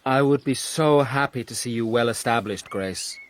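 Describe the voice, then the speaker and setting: An honourable young nobleman played by a man in a period radio drama. His English accent is upper-class and his voice sits at a middling pitch, with an earnest, well-bred manner. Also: normal speed, dry recording, lightly acted. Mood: restrained.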